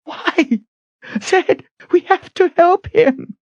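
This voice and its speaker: A man's voice: very high voice, lively intonation, unhurried, a little mellow, slightly rough, no echo, highs cut off, pitched above the performer's own voice, full character voice. A man voicing a frantic young woman who pleads urgently and breathlessly in a dramatic audiobook reading. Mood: desperate.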